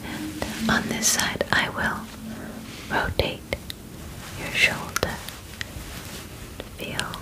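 A young woman in her twenties playing a calm, clinical female alien examiner in a whispered ASMR roleplay, giving deliberate, formal instructions close to the microphone. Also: rough recording. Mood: calm, methodical. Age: 20s. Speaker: ASMR performer. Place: bedroom, indoors.

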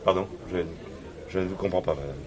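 A courteous police inspector, played by a man, with a deep, low voice. He questions formally and probingly, in the measured style of a radio crime drama. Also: crowd noise, natural voice.

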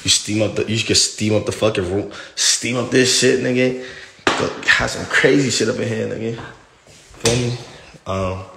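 A fairly low-voiced young man streams live from his phone as he wanders the empty rooms of a big house. He talks loose and excitable, hyping everything up with slang and swearing. The sound carries a faint hiss. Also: moderate pace, creaky. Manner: excitable, slangy, swears a lot, hypes things up. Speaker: livestreamer giving a house tour. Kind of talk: casual livestream chat. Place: large empty house indoors, walking between rooms. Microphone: phone held at arm's length.

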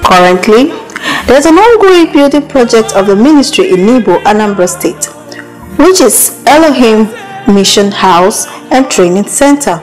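A woman's voice: a little high, big pitch swings, moderate pace, poor audio. A woman reading scripted documentary narration. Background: music.

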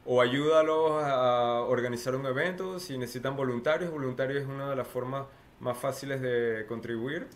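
A middle-aged man with a Venezuelan accent co-hosts a laid-back podcast, speaking at medium pitch. He talks with frequent fillers and pauses. He is recorded through a headset microphone in a small room at home. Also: steady tone. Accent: Venezuelan Spanish. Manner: halting, many fillers. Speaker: podcast co-host. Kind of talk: casual chat.

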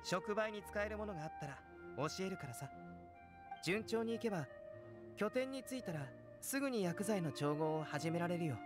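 A man playing a calm, courteous young alchemist in an anime game, speaking in a measured, gentle, analytical way. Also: sits high. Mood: calm, explanatory. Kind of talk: scripted anime game dialogue. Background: game music.

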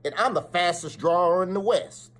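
A man's voice: sits high, moderate pace, pitched above the performer's own voice, natural voice. A man voicing a confident young cowgirl challenger from a storybook, folksy and emphatic. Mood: bold challenge.

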